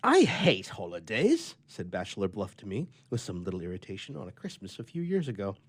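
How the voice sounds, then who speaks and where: A man's voice: deep voice, big pitch swings, quick pace, mellow. A man reading an old-fashioned short story aloud as a storyteller in a live dramatic reading. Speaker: story reader.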